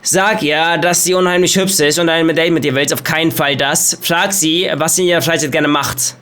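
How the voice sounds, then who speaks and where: Man playing a game, bright sharp voice, higher pitch, joking commentary. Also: fairly even intonation, clean tone. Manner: quick, joking, reads choices aloud. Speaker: gaming YouTuber. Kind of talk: let's play commentary.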